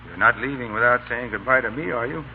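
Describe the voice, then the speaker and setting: A man playing a courtly army colonel, soft and affectionate, in an old-time radio drama. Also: mid-range voice, quick pace, close to an everyday voice. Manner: soft, affectionate, gently teasing. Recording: tinny old radio broadcast, faint noise.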